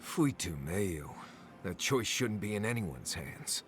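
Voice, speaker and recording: A man's voice: lowish voice, very animated, slow pace, soft background music. A man acting a grave, reserved male companion in a game cutscene, terse and sombre. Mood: sombre, wary.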